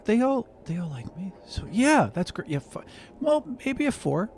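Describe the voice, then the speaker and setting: A woman voicing a flustered, evasive female teacher in a tabletop game, nervous, halting and eager to agree. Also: medium pitch, sing-song, darkish tone, slight background noise, pitched above the performer's own voice.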